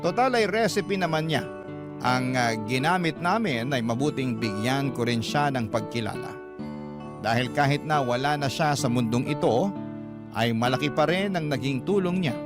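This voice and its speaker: A man with a mid-pitched voice and a Filipino accent narrates a radio drama. He reads a scripted first-person story, with guitar music clearly heard underneath.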